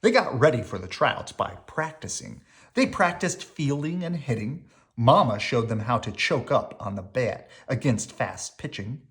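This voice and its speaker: A reader narrating a children's picture book aloud in an expressive storytelling style. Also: medium pitch, a little slow.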